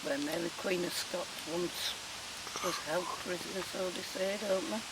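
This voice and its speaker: A woman's voice: fairly low pitch, steady tone, normal speed. A woman on an outdoor ghost hunt, talking in a loose conversational way and speculating aloud. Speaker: paranormal investigator. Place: outdoors at a woodland waterfall site. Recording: distant hissy outdoor recording with rain.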